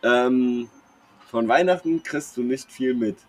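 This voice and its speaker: A man's voice: medium pitch, takes it slowly. A young man chats casually as a podcast co-host, telling personal anecdotes in a slangy, rambling, jokey way. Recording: clear background noise.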